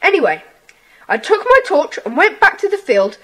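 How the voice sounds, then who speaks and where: Animated, high-voiced British schoolboy. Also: female voice, moderate pace, poor audio. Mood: earnest, intrigued. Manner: animated, earnest recounting. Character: earnest, adventurous schoolboy telling his friends things. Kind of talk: children's audio drama acting.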